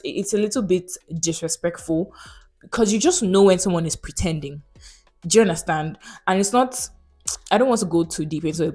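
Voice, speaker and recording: A young woman with a Nigerian accent talks straight to her viewers in a relaxed, frank vlog. Her voice sits a little low, and she often turns to the audience with questions like an old friend. The delivery is chatty and unscripted. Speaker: vlogger. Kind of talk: casual talk to camera.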